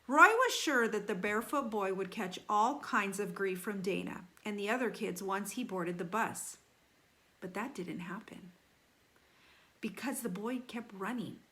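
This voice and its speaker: A woman reading a children's novel aloud like a teacher, animated, with deliberate emphasis and dramatic slowing on key phrases. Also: medium pitch. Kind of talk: expressive children's read-aloud.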